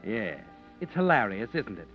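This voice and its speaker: A man's voice: on the low side, big pitch swings, moderate pace. A man narrating in the second person, insinuating and sardonic, in a 1940s radio drama. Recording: dull vintage radio recording with music.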